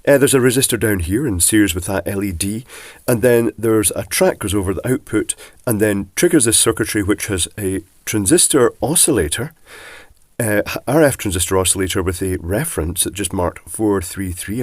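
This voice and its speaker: A man with a cold, sounding bunged up and croaky, casually explaining electronics as he takes a device apart. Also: fairly low pitch, a little mellow, no room sound. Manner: chatty, rambling asides. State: congested from a cold, husky. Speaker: electronics teardown presenter.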